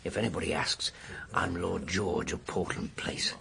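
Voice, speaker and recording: An actor playing a wry, cheeky, world-weary investigator, sardonic and unhurried, in a radio drama. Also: male voice, sits low, steady tone, gravelly. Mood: breezy, sardonic. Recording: heavy background noise.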